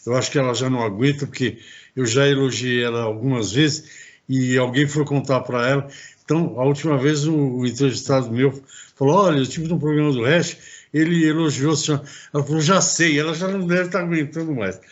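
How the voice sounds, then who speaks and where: A man's voice: mid-range voice, brisk. Chatty male talk-show host, lo-fi. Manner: chatty, rambling with restarts. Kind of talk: casual interview talk.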